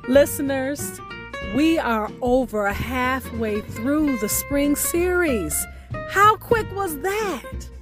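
A woman podcast host reading a scripted sign-off in deliberate, measured phrases with emphatic words. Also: high voice, animated. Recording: music playing behind the voice.

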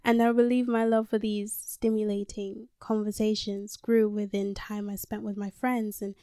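Young London woman, warm and dry. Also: medium pitch, level tone, normal speed, clear, resonant tone, no room sound. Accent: London with some American tone. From London, England. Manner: candid, chatty, swears freely, laughs at herself. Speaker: podcast host. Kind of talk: casual solo podcast monologue. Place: small closet. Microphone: handheld mic.

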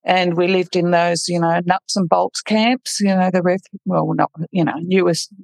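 A woman from the Netherlands, middle-aged, tells her life story on a podcast. Her voice has a gravelly texture, and she speaks frankly and casually. The recording is close and dry. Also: on the low side, normal speed, no echo. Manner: frank, relaxed, laughs at her own story. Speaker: podcast guest.

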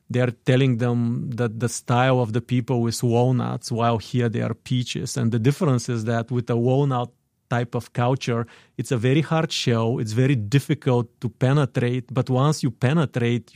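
A man's voice: medium pitch, gravelly, a little mellow, no echo, studio quality. A middle-aged man from Bulgaria with a Bulgarian accent, a guest in a radio interview, hesitant and full of fillers and restarts.

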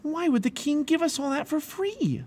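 Man doing an eager, very high-pitched storybook boy. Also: animated, normal speed, darkish tone, husky, heavily acted. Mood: eager wonder. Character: eager, wide-eyed, easily swayed young boy. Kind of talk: children's storybook character voice.

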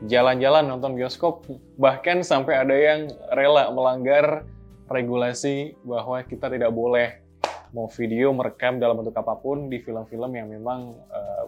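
A man's voice: medium pitch, muffled recording. Casual man hosting an explainer. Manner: casual and animated, with quoted asides. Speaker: host. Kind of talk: explainer narration.

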